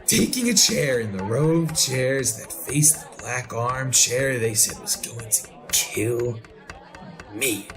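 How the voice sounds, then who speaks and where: An actor playing a frantic condemned murderer telling his story in a retro radio drama, halting and repeating himself. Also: mid-range voice, animated, music in the background, a crowd in the background. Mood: bewildered, halting. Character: frantic condemned murderer recounting witnessing his own execution.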